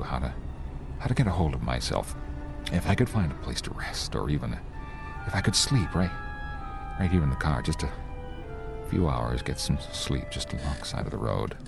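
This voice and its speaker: Haunted lone driver narrating a suspense radio drama, male actor: extremely low, brooding and halting, music beneath. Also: creaky, full character voice. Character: haunted, lonely male driver recounting his terror.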